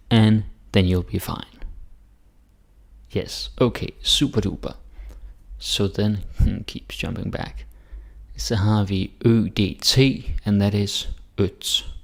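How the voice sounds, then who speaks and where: A man with a Danish accent teaching pronunciation in a casual way, repeating words slowly for learners. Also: on the low side, creaky. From Denmark. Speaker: language teacher. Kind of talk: pronunciation lesson.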